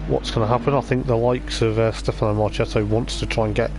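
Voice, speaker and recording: A man with a voice going hoarse, giving rambling live race commentary on a stream, with lots of hesitant fillers. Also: mid-range voice, fairly even intonation, fast, background noise. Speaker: sim racing league commentator.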